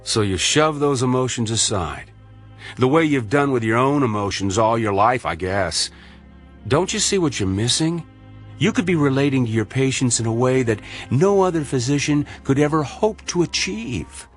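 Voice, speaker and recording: A man's voice: mid-range voice, lively intonation, normal speed, faint music behind, close to an everyday voice. An actor playing an earnest male medical colleague with a probing, moralising, sardonic manner in a radio drama. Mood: earnest, pleading.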